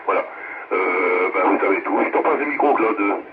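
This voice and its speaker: A man talking casually and haltingly as an amateur radio operator, heard through a radio relay. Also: low voice, lively intonation, crowd noise. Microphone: two-way radio transmission. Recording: muffled, noisy VHF radio relay.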